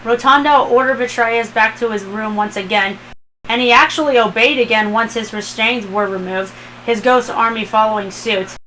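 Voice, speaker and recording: Woman, amateur story narrator, slightly high voice, dramatic read-aloud style, faint background noise. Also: moderate pace, sharp tone, low-quality recording. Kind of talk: dramatic fan fiction narration.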